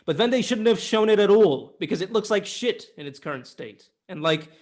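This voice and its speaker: Male film podcast co-host, moderately high pitch, argumentative, emphatic, casual and sweary. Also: animated, brisk, darkish tone. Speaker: podcast co-host reviewing films. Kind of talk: casual opinionated film discussion.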